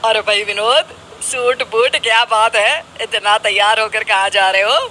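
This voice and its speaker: A naive, thrifty young husband in a children's cartoon , played by a man. His voice is high and very bright. He exclaims and argues eagerly. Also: fairly even intonation, measured pace, slight background noise, exaggerated voice, poor audio. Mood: curious surprise. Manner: exclaiming, argumentative.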